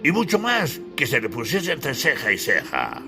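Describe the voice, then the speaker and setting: A man's voice: mid-range voice, huge pitch swings, moderate pace, gravelly, over music, voice pushed up. A man voicing a proud, touchy, boastful Renaissance sculptor in an audiobook, with an indignant manner. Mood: proud, defiant. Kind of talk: dramatised audiobook.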